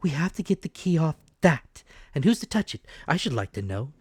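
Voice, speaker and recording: Man playing high-pitched, bold scolding mother. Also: big pitch swings, fairly quick, slightly rough, no room sound, clean studio sound. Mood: anxious, urgent. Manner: emphatic, forceful delivery. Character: bold, scolding innkeeper mother, determined and anxious. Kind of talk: dramatic storybook reading.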